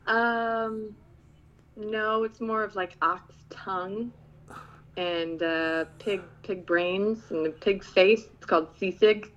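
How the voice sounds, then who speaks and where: A woman's voice: medium pitch, fairly even intonation, takes it slowly, lo-fi sound. A woman from the Philippines, co-hosting a casual talk show, light and giggly, with many hesitant fillers. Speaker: co-host.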